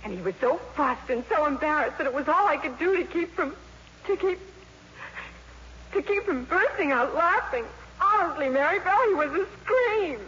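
A woman's voice: high-pitched, animated, normal speed, a bit bright. An actress playing a dreamy, proud teenage schoolgirl with gushing, girlish talk, in 1950s radio style. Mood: forced breeziness. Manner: breathlessly gushing, girlish slang. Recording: muffled, noisy old radio recording.

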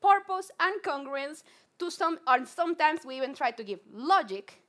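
A young woman from Colombia with a Colombian Spanish accent, a psychologist giving an earnest, animated public talk with frequent restarts. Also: high-pitched, moderate pace. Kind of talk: public talk to a live audience.

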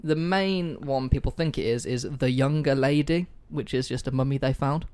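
A man's voice: mid-range voice, normal speed, a little mellow, clear, resonant tone. A man hosting a casual history podcast from home, joking about himself and swearing now and then, on a poor-quality mic. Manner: jokey, self-deprecating, swears casually. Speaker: podcast host. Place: room at home with pets and food on the table.

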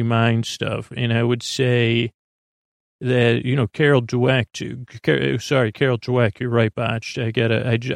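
A man's voice: mid-range voice, vocal fry, no echo, studio quality. A man hosting a podcast, drifting through a rambling improvised bedtime monologue full of fillers, restarts and meandering asides. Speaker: podcast host. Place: small studio.

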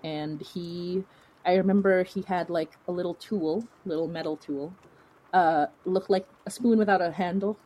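A woman from the Philippines telling stories casually as an interview guest, in an animated, chatty, self-interrupting way. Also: lowish voice, moderate pace, rough recording. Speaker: podcast guest, fiction podcast creator. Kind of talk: casual conversational storytelling.

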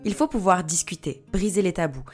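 A woman delivering scripted narration in a measured, essay-like reading. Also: lowish voice, animated, darkish tone, soft background music.

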